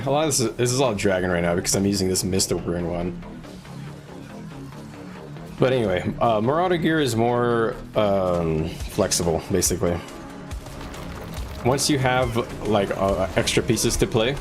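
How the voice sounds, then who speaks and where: Low-voiced man chatting over loud music. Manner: halting, thinking aloud. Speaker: game livestreamer. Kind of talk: casual live gaming commentary, advising chat.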